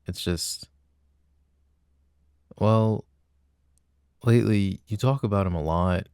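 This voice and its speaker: Boyfriend role for a male actor: very low, gravelly, slow and halting, loving yet jealous, intimate ASMR roleplay. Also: a little mellow, dry recording, studio quality, heavily acted. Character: loving but jealous, uneasy young boyfriend.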